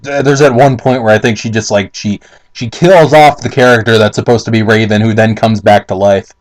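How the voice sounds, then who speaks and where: A man's voice: on the low side, fairly even intonation, a little fast. A man doing a casual dramatic reading as co-host, mixing it with crude, sarcastic, exasperated commentary. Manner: sarcastic, foul-mouthed, exasperated. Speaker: co-host reading and mocking a fanfiction.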